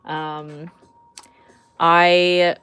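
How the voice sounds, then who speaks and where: A woman in her thirties with a slightly low voice talks in a relaxed, informal livestream style. She is chatty and giggly, moving between reading out viewer messages and answering them while she draws. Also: extremely slow, clear, resonant tone. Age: 30s. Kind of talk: casual livestream chat while drawing.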